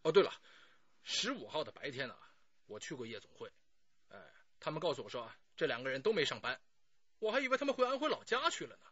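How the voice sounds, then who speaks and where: Radio drama middle aged suspect, bright forceful voice, keeps justifying himself, bit dull recording. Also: male voice, on the high side, very animated, fast talker, breathy edge, dry recording, exaggerated voice. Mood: explaining. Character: burly middle-aged man, talkative, self-justifying murder suspect.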